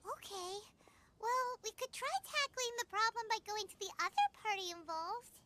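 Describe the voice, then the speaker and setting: A woman's voice: very high voice, lively intonation, normal speed, full character voice. A woman voicing a small, chirpy, outspoken childlike companion in a video game. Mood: curious, concerned. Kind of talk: video game character acting. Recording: game audio under loud noise, clicks.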